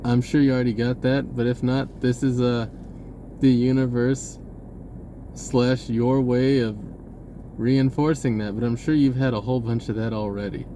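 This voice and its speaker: A man's voice: mid-range voice, little pitch movement, moderate pace, mellow, slight background noise. A man giving a rambling, spontaneous message in an informal, hesitant way, often rephrasing as he goes. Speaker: online video creator.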